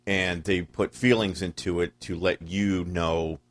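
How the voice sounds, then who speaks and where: Deep-voiced, blunt, profane man. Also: fairly even intonation. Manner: blunt and profane, quick interjections. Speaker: podcast co-host. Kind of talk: casual political chat.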